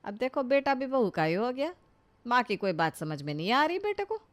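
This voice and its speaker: A woman playing a nagging, sharp-tongued older village mother-in-law in a cartoon family comedy, scolding and sarcastic. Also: fairly high pitch, very animated, moderate pace. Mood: bitter grumbling. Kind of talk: Hindi animated family comedy story acting.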